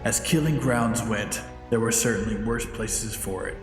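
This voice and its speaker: A man doing low-key video game playthrough commentary, musing to himself and reading aloud. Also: a little slow, music in the background.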